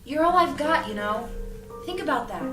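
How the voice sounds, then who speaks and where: In a roomy, distant recording with music underneath, a woman acts a feisty young woman for an audio drama. She is exasperated and sardonic yet protective, in a high, sharp voice. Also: lively intonation, normal speed. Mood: weary, caring, wry. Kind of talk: acted reading of an audio drama script.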